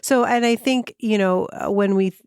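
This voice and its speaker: A woman's voice: mid-range voice, normal speed, clear, resonant tone, no room sound, clean studio sound. A woman hosting a college career-services interview, agreeable and chatty, often hedging with fillers. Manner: warm agreeable listener, many fillers. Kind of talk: casual interview chat.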